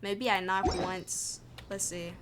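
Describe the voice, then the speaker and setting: A woman's voice: medium pitch, flat delivery, takes it slowly, very noisy. A woman streaming a game, thinking aloud in informal, muttered commentary to herself, with music behind her.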